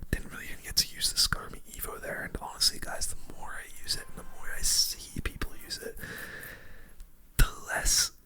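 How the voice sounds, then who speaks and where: A gamer whispering softly and breathily into a close microphone, giving ASMR-style running commentary on play while musing aloud. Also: brisk. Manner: soft whispering, mild swearing, musing aloud. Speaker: gaming video creator.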